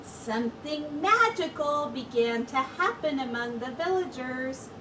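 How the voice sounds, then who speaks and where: A woman teacher reading a storybook aloud to children in an expressive, deliberate way with drawn-out words. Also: sits high. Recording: distant mic with clear background noise.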